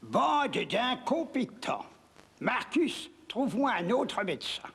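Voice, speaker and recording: A man's voice: extremely high pitch, very animated, slow pace, a bit bright, husky, full character voice. A man playing a haughty, impatient, commanding Roman emperor in a cartoon. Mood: scornful.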